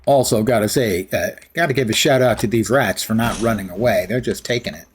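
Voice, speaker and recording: A man's voice: lowish voice, fairly quick, a little hiss or hum. Rambling man streaming a game. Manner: rambling, self-mocking. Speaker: livestreamer. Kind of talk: casual gameplay chatter.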